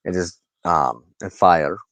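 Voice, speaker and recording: A man with a very low voice talks slowly and reflectively, as if to his own viewers. He halts and pauses mid-sentence as he rambles through his thoughts. The sound is dry and close. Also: fairly bright tone, no echo, muffled recording. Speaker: host of a personal spiritual channel, wand crafter. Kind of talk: rambling informal monologue to viewers.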